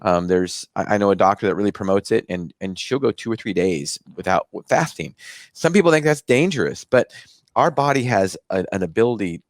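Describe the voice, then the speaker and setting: A man's voice: fairly low pitch, expressive, a little fast, dry recording, clean studio sound. An American man hosting a health show, explaining things in a conversational, rambling way with repeated phrases. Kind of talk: conversational interview talk.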